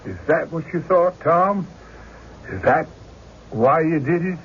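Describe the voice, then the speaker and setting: An actor playing a calm, dutiful frontier deputy in an old-time radio drama, terse and official. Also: male voice, on the high side, slow, slightly rough, full character voice. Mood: grave, official. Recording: dull, lightly noisy radio recording.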